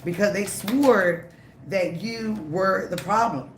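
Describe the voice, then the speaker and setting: A tarot reader giving a live reading, emphatic and repetitive, slightly raspy from a cold. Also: mid-range voice, slow pace, reverberant, slight background noise. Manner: emphatic, repeats phrases, frequent "okay?" and "all right?".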